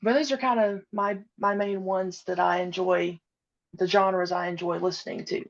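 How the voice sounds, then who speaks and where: A woman's voice: lowish voice, fairly even intonation, moderate pace, vocal fry, muffled recording. A woman being interviewed about her podcast, talking casually and hesitantly with many "uh" and "um" fillers. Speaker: podcast guest, audio drama creator.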